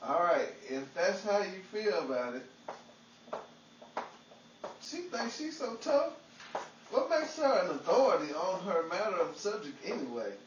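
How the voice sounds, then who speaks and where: High-voiced bumbling, boastful husband acted by a man. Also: wildly swooping pitch, measured pace, pauses often, reverberant, distant mic, lo-fi sound, a little hiss or hum, heavily acted. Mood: muttering, cocky. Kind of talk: old-time radio comedy acting.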